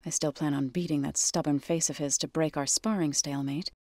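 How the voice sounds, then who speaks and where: Low-pitched, earnest warrior woman. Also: steady tone, fairly quick, darkish tone, dry recording, clean studio sound. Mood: resolute, thoughtful. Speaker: video game character. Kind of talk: scripted video game dialogue.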